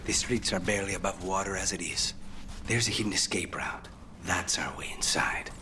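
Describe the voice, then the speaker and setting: An actor playing a composed, brooding noble assassin in a video game, speaking in a controlled, terse, serious way. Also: male voice, sits low, normal speed, pushed and bright, slight background noise. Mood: guarded. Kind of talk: video game dialogue acting. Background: music.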